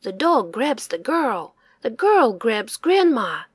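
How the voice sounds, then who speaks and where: A woman reads a children's story aloud slowly, her high voice rising and falling with each line. The sound is close and dry, and the delivery is sing-song. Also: big pitch swings, breathy edge, dry recording. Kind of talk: reading a picture book aloud to children.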